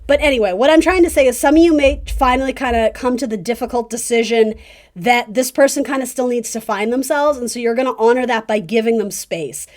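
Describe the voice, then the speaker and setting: A woman's voice: fairly high pitch, brisk. A woman hosting a casual tarot reading, talking directly to the listener with encouraging, conversational advice. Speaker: tarot reader and video host.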